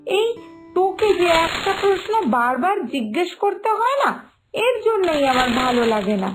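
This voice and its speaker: A woman voicing a sensible elder sister-in-law in a cartoon story, gently scolding and reassuring. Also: very high voice, sing-song, moderate pace. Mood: chiding, reassuring. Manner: chiding, reassuring. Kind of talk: Bengali animated moral story dialogue. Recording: music behind the voice.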